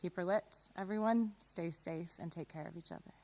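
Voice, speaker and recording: A woman host with a fairly low voice. She talks informally to an audience watching from home, as if signing off a show. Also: normal speed, very noisy. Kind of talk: informal closing address to online audience.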